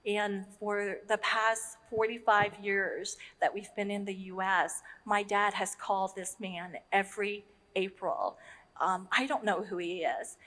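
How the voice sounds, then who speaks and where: A woman's voice: mid-range voice, steady tone, fairly bright tone. Earnest woman in an echoing hall. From Vietnam. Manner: earnest, halting with frequent ums. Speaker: nonprofit leader on a panel. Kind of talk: personal story told to an audience. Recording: long hall reverb.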